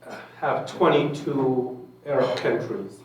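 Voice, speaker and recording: A man from Syria speaking as a forum panelist, measured, with many ums and restarts. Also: mid-range voice. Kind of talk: forum talk. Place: large echoey meeting hall. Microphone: handheld microphone. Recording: echoey hall, mic far off.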